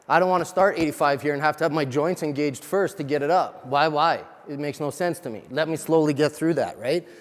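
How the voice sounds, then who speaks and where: A man working as a fitness trainer, giving an energetic coaching demo of equipment in a large gym. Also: a little high. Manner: energetic, coaching, rapid explanations. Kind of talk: live gym demonstration. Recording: long reverb of a big gym.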